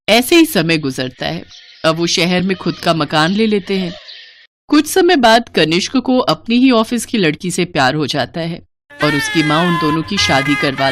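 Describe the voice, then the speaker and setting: A woman's voice: on the low side, a little hiss or hum. A woman narrating a scripted family story in a dramatic storytelling style.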